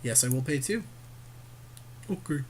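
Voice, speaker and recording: A man's voice: medium pitch, expressive, a little slow, a little hiss or hum. A man co-hosting a game session in casual chat, readily agreeing with and affirming his partner's suggestions. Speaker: podcast co-host and card game player.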